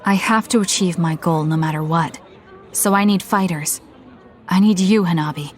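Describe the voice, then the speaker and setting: Actress as a reserved young soldier heroine, lower register, blunt and level. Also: female voice, close to an everyday voice. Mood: cool. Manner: measured, blunt, matter-of-fact. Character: calm, blunt young woman, soldier and protagonist. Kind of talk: anime game cutscene acting.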